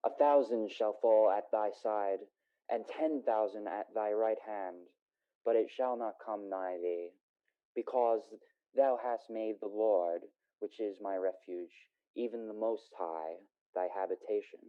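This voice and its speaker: An actor playing an earnest, gentle young son of a poor family in a solemn radio play. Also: male voice, on the low side, a little slow, smooth, lightly acted. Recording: tinny phone-like lo-fi sound.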